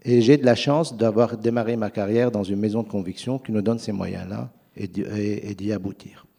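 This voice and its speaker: Man, non-native French speaker, persuasive panel talk, low dark voice. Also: steady tone, normal speed, creaky, husky. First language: not French. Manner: earnest, persuasive, emphatic. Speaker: finance panelist.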